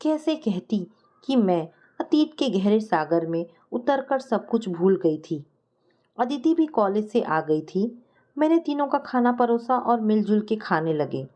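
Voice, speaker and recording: A woman's voice: mid-range voice, big pitch swings, takes it slowly, dark tone. A woman reading a scripted first-person family story as a storyteller.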